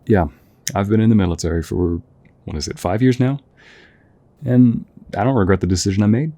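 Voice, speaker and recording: Man acting a deep, husky young soldier, affectionate. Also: fairly quick, dry recording. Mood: warm, reminiscing. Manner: gentle, affectionate, hesitant, chuckling conversational delivery. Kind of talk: intimate audio roleplay acting.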